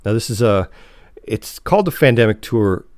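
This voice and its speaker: A man's voice: fairly low pitch, animated, moderate pace, darkish tone, clear, resonant tone, no room sound. Casual male podcast co-host, dry close sound. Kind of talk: casual chat about TV shows.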